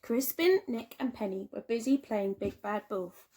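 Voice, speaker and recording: A woman teacher reading a children's storybook aloud with expressive, dramatic emphasis and drawn-out words. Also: fairly high pitch, rough recording. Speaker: primary school teacher.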